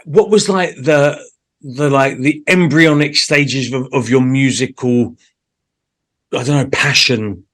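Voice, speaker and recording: A man's voice: mid-range voice, takes it slowly, a bit bright. A British man hosting a casual interview, chatting in an informal, blokey, sweary way. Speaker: show host and interviewer.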